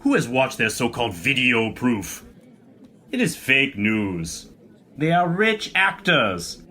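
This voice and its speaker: An actor playing a bombastic demagogue president, giving a pompous, repetitive, emphatic rally speech in an audio drama. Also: male voice, medium pitch, sharp tone, heavily acted.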